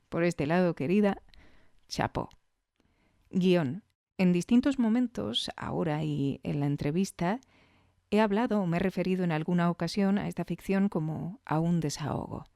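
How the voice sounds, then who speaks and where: A woman's voice: on the low side, dark tone, no echo. Woman podcast host, close dry sound. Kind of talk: scripted podcast narration with conversational asides.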